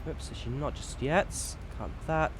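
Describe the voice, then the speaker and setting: A man's voice: on the high side, moderate pace, noisy background. A man giving casual live stream commentary while flying a simulator, chatty and self-deprecating.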